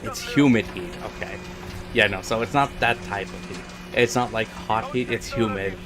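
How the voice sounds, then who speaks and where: A man's voice: lowish voice, fairly even intonation, normal speed. A man streaming a racing game, chatting casually with viewers, thinking aloud and apologizing as he guesses. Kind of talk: casual livestream chat while playing a racing game. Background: game music and engine noise.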